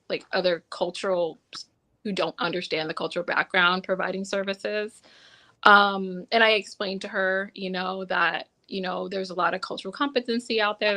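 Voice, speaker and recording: A woman telling a reflective personal anecdote on a panel, choosing her words in a halting, thoughtful way. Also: mid-range voice, sharp tone. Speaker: behavior analysis professional on a panel.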